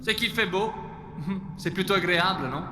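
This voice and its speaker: A man playing a menacing terrorist in a French audio drama, taunting his enemy in a deliberate, theatrical, mocking manner. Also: high-pitched, sharp tone. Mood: playful taunting. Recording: reverberant hall, faint background noise.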